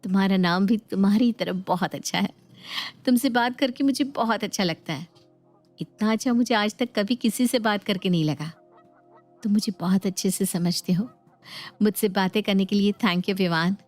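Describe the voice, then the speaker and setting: A woman's voice: medium pitch, normal speed, darkish tone, soft background music, natural voice. A woman voicing a naive, lovestruck young married woman, coy and emotive, in a dramatized moral story. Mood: coy, smitten.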